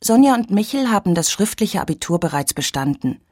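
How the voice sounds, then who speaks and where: A woman radio reporter reading scripted narration in a clear, even way. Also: mid-range voice, a little fast, no echo, studio quality.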